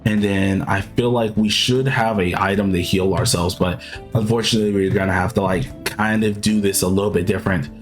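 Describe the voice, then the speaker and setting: A man with a sick, hoarse-sounding voice, casually explaining his gameplay moves step by step. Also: low voice, fairly even intonation, a little fast, soft background music. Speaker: video game commentator. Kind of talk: gameplay walkthrough commentary.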